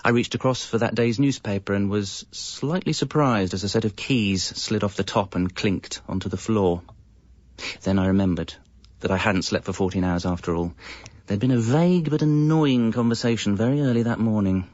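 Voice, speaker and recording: Radio comedy lead: man as idle, evasive composer narrator, deep-ish and deadpan, on a dull-sounding recording. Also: normal speed, dry recording, close to an everyday voice. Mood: wry narration. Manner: dry, self-justifying. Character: lazy, evasive slacker jingle composer telling his story. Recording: slightly muffled radio recording.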